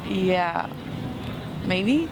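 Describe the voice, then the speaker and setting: A woman's voice: lowish voice, expressive, very slow. Unsure nineteen-year-old woman on a noisy street. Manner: unsure, giggly, trailing off. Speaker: interviewee. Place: outdoors on a city street. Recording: loud street traffic noise.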